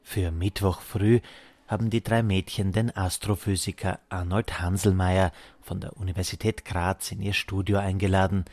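A man's voice: low voice, flat delivery, moderate pace, a little mellow, no echo. A man narrating a scripted radio report as a reporter.

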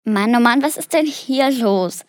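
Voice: mid-range voice, measured pace, dry recording, lightly acted. A child playing a helpful little dragon who lives in a school attic, in a children's audio play. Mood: puzzled.

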